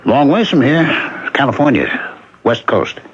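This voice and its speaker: Animated, easygoing American shop helper. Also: male voice, medium pitch, unhurried. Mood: chatty. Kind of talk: 1970s radio drama acting. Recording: muffled, hissy vintage radio broadcast.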